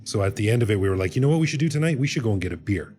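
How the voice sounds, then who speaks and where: A man's voice: on the low side, animated, racing, darkish tone. A man in his forties, an upbeat and chatty podcast host who introduces guests and runs the interview. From Canada. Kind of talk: scripted intro and casual interview chat.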